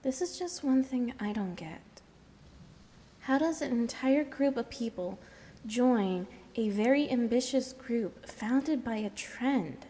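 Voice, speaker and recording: A woman's voice: fairly high pitch, animated, slow pace, mellow. A woman playing a weary, no-nonsense carer with a blunt, hesitant manner in naturalistic audio drama. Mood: puzzled. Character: weary, no-nonsense woman tending an injured man.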